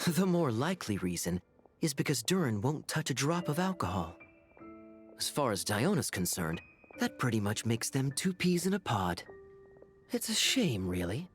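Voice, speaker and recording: A man voicing a wry, charming adult knight who talks in a smooth, teasing way. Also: mid-range voice, sing-song, normal speed, faint music behind, close to an everyday voice. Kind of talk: game voice acting.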